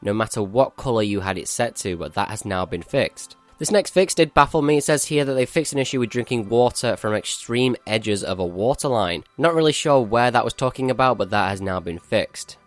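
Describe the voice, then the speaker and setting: A man's voice: medium pitch, animated, brisk, hardly any pauses, soft background music. A male gaming channel host giving a matter-of-fact, explanatory walkthrough of a game update.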